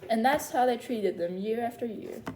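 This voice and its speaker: A woman's voice: on the high side, moderate pace, noisy background. A woman hosting a children's storytime, reading a storybook aloud with asides to young listeners.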